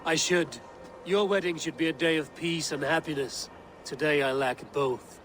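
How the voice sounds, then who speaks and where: An actor playing a stoic, blunt and loyal Viking warrior in a dramatic video game. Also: male voice, a little high, normal speed, a bit bright, lightly acted. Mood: subdued. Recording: music behind the voice.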